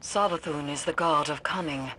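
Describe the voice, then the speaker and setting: A woman's voice: deep voice, normal speed, bright, forceful tone, slight background noise. A woman playing a solemn, ominous mystic scholar in a video game, with formal phrasing. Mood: grim.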